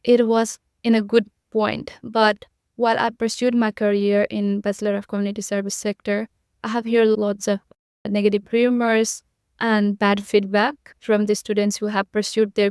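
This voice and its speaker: Young woman from nepal on a podcast, earnest and a bit rambly, clear smooth voice. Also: medium pitch, little pitch movement, normal speed, a little mellow, no echo, studio quality. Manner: earnest, repetitive phrasing with frequent fillers. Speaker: podcast guest. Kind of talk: conversational interview answers.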